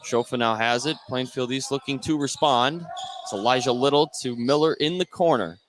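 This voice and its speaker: A man's voice: mid-range voice, normal speed. Male basketball announcer, mid-range voice, live commentary, faint gym ambience. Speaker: play-by-play announcer. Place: indoor gym beside bleachers. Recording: clear gym noise behind voice.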